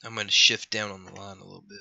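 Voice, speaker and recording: A man's voice: fairly low pitch, little pitch movement, very fast, vocal fry, pushed and bright, muffled recording. A young man with a scratchy voice after being sick, rambling and apologizing through casual gameplay commentary. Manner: rambling, apologetic, lots of fillers. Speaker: gaming youtuber.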